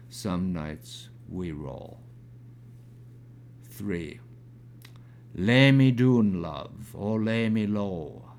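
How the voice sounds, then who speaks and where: A man in his sixties with a crackly, gravelly voice, reading his own poem aloud, halting with fillers when he talks and measured when he recites. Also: on the low side, a little mellow. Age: 60s. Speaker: poet.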